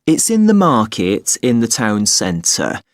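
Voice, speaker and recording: A man playing a patient, polite festival information officer in an acted listening exercise, giving details in a measured, helpful way. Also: fairly low pitch, wildly swooping pitch, dry recording, clean studio sound, exaggerated voice. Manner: measured, helpful, spells words out slowly. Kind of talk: acted listening-test dialogue, clearly enunciated.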